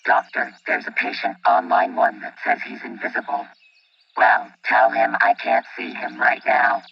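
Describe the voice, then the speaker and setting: An actor playing a cautious, protective robot in an audio drama, speaking formally and deadpan through a robot filter. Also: male voice, medium pitch, normal speed, gravelly, dry recording, lo-fi sound.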